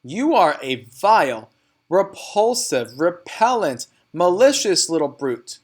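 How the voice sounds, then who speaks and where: A man's voice: high voice, sing-song, slow pace, slightly rough, voice pushed up, heavily acted. A man voicing a tyrannical, child-hating woman headmistress in a storybook, pompous, emphatic and barking. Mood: furious, barking.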